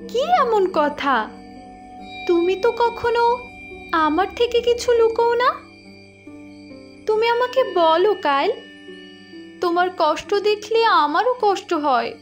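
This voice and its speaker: A devoted young fairy woman played by a woman speaks tenderly and anxiously in cartoon fairy-tale style. Her voice is extremely high, almost childlike, and slow. Faint music runs behind her gentle, emotive delivery. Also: lively intonation, full character voice. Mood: concerned, tender.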